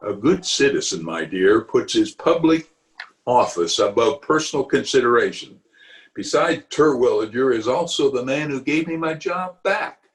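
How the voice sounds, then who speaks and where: A pompous middle-aged uncle acted by a man in an old-time radio comedy. His mid-pitched voice swoops widely as he drags out indignant words. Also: animated, close to an everyday voice, low-quality recording. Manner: pompous, indignant, drawn-out delivery. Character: pompous, blustering middle-aged uncle, a small-town official. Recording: remote home recording over video call.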